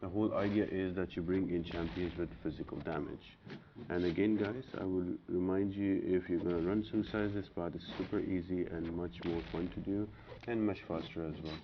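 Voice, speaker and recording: A man's voice: deep voice, fairly even intonation, moderate pace. A man giving casual, matter-of-fact video game walkthrough commentary in an instructional way. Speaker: video game guide host. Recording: muffled audio with clear background noise.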